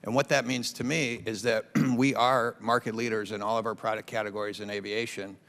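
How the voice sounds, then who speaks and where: A man giving formal testimony as an aviation industry witness, in measured, articulate phrases. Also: lowish voice, level tone, vocal fry, a bit bright. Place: large indoor committee hearing room. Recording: reverberant hearing room.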